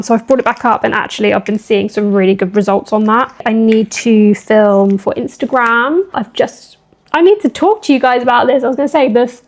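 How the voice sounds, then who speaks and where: Casting: woman fitness vlogger, a touch high, croaky husky tone, chatty rambling delivery. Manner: chatty and upbeat, rambling with asides. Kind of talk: casual talking-to-camera vlog.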